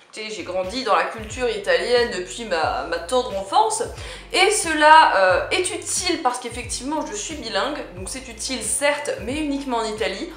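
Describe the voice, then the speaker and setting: Bright, chatty young woman vlogger. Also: a little high, animated, normal speed, soft background music. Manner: chatty, playful, addresses the viewer. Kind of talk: casual talk to camera. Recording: echoey room.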